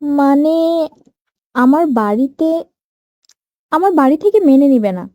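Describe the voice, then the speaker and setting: Woman as a high, meek young girl. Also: expressive, measured pace, muffled recording. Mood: shy. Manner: hesitant, pleading. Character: meek, earnest mistreated young stepdaughter.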